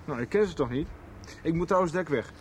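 A man acts an evasive, panicky kidnapper in a radio play. The voice is high and jumps around a lot, with a defensive, faltering manner. There is faint noise in the background. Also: animated, fairly quick, lightly acted. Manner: defensive, stammering under pressure. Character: nervous, evasive male kidnapper, cornered and panicky. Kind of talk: 1990s Dutch radio soap acting.

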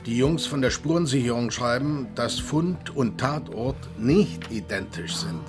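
An actor in a German radio drama playing a gruff police sergeant with a dry, sardonic and deliberate way of talking. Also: male voice, mid-range voice, lively intonation, over music, natural voice. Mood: sardonic, intent. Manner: dry, sardonic, reads reports aloud deliberately.

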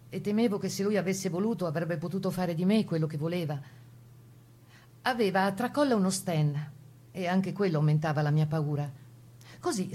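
A woman's voice: fairly low pitch, darkish tone, breathy edge. A woman playing a plucky Italian Resistance partisan, telling her wartime memories in the first person as a dramatized radio testimony. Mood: tense recollection. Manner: composed first-person storytelling.

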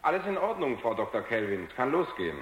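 An actor playing a plain-spoken, assured technician in a German radio drama, with a matter-of-fact manner. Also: male voice, mid-range voice, very animated, fast talker, fairly bright tone. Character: plain-spoken, assured male technician, deferring to his superior. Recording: roomy, echoey radio drama recording.

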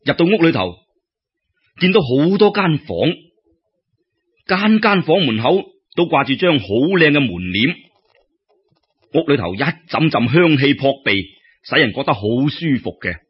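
A man telling a traditional story in Cantonese as a radio storyteller, colloquial and expressive, with exclamations and drawn-out particles. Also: on the high side, husky. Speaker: storyteller, radio show host. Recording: dull lo-fi radio-style recording.

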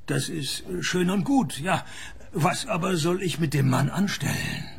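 An actor playing a dry, world-weary, cheeky private detective in a radio play, deadpan and laconic in dialogue and narration. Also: male voice, a little high, measured pace, creaky. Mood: dry, sceptical. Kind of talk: radio play acting and first-person narration.

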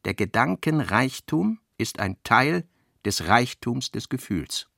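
A man reading an author's literary notes for a radio play in a deliberate, reflective way. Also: medium pitch, sing-song, gravelly, sharp tone, no echo, studio quality.

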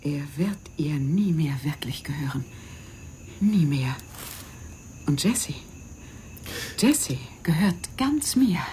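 Slow-spoken, embittered low-voiced woman. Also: animated, warm tone, a little hiss or hum. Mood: brooding, obsessive. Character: embittered, self-pitying woman, a desperate mother who feels cheated. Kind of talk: German radio crime drama acting.

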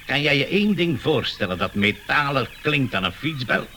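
Obsessive canary breeder, wildly swooping voice. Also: male voice, mid-range voice, big pitch swings, moderate pace, pushed and bright. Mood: proud, eager. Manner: cooing over pets, self-important, emphatic explaining. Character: obsessive male canary breeder, proud amateur inventor, easily exasperated. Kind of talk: comic radio play acting. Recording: noisy early-1980s radio play recording.

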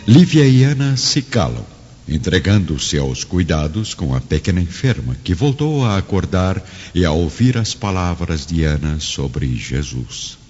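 A man's voice: deep voice, sing-song, measured pace, darkish tone. A man narrating a radio drama from a script in a solemn, theatrical manner. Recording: reverberant, dull lo-fi audio over music.

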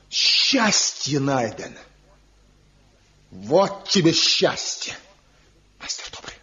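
A fervent rival master craftsman in an old radio play, his husky, breathy voice rising and falling in wide swings. He speaks slowly and theatrically. The recording is dull and lo-fi. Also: mid-range voice, a bit bright, exaggerated voice. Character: passionate rival male master craftsman, reckless. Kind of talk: 1970s radio play acting.

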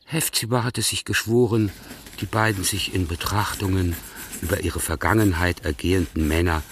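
A man with a very low voice narrates a radio play in formal literary prose. There is clear noise on the recording. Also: fairly even intonation, moderate pace.

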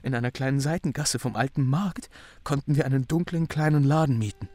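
A man's voice: medium pitch, a little fast, darkish tone, close to an everyday voice. An actor playing a troubled, bewildered young man in a radio drama, recounting things in an earnest, brooding way. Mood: confessing. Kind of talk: German radio drama acting.

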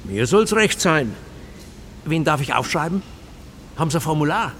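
An actor playing an eager, obliging German printer in a radio play, chattering and repeating himself in a servile manner. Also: male voice, on the high side, big pitch swings, moderate pace, a bit bright, a little hiss or hum. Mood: obliging, matter-of-fact.

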